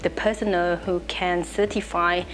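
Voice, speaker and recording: A woman's voice: on the low side, little pitch movement, normal speed, faint music behind, rough recording. A young woman from Laos with a Lao accent, answering interview questions in a hesitant, conversational way. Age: young adult. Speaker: interviewee, trainee. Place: indoor office room. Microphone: lapel.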